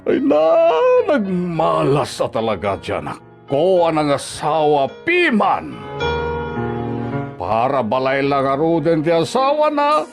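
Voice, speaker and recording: A scornful Ilocano father-in-law, played by a male actor, pitched high and swinging sharply up and down as he scolds. It is radio drama, full of exclamations and sarcastic questions, with music underneath. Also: huge pitch swings, slow, vocal fry, full character voice. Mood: angry, nagging. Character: nagging, scornful father-in-law berating his daughter-in-law as lazy. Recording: music bed behind the dialogue.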